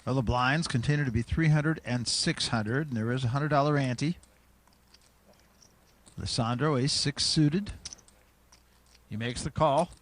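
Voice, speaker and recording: A man hosting and calling the action in a televised poker broadcast, with a brisk, measured play-by-play delivery. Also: medium pitch. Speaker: play-by-play commentator.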